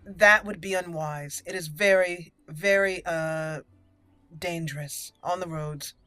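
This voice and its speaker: A woman's voice: on the low side, slow pace, bright, forceful tone, no room sound. A woman giving chatty roleplay game commentary, thinking aloud and slipping into formal in-character lines. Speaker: gaming channel host and player. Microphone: close, dry microphone.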